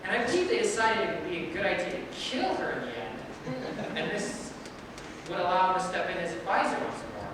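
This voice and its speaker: A woman's voice: lowish voice, brisk. A woman playing a dim, lazy, boastful palace guard who rambles and gossips in a stage play reading. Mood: rambling. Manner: rambling, excitable, forgetful of names. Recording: very echoey hall from far off, noisy.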